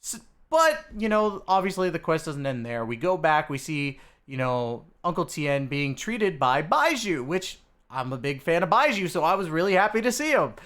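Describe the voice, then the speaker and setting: Guy doing a casual gaming recap video, voice a bit on the high side, talks to viewers all informal. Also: sing-song, normal speed, a bit bright. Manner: informal, addresses viewers as dudes and guys. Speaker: video host.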